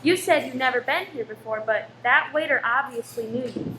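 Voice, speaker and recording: A woman playing a polite, protective wife in a radio play, modest, guarded and gently wry. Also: high-pitched, moderate pace. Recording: echoey, faintly noisy room.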